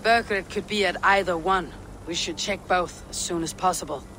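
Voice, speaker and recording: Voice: medium pitch, normal speed, sharp tone, slight background noise, close to an everyday voice, rough recording. An actor playing a blunt, hard-bargaining Viking warrior in a video game, terse and menacing. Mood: businesslike. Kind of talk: video game cutscene acting.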